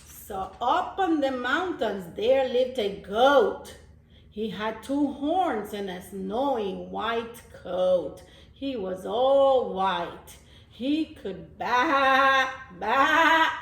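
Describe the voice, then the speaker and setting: A woman reading a picture book aloud to young children, pausing to explain things simply like a teacher. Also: fairly high pitch. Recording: echoey room.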